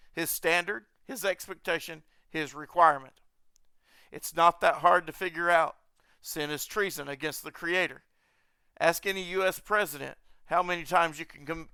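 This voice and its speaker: Bright, clear-voiced man hosting a podcast. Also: fairly high pitch, no room sound, clean studio sound. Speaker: podcast host.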